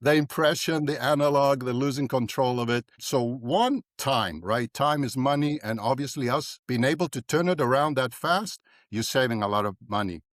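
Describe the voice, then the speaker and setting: An older man from South Africa, a dentist guest in a podcast interview, talking in an animated way and often tagging his points with "right?". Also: medium pitch, normal speed, dry recording, clean studio sound.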